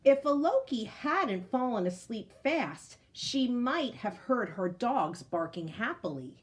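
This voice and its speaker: A woman's voice: fairly high pitch, very animated, slow. A woman reading a picture book aloud to children, as a teacher. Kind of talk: storybook read-aloud.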